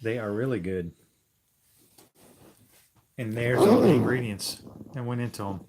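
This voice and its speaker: A man's voice: mid-range voice, unhurried, heavy background noise. A man co-hosting a livestream, chatting in an easygoing way with dry jokes. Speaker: co-host of a livestream. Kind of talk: casual livestream chat.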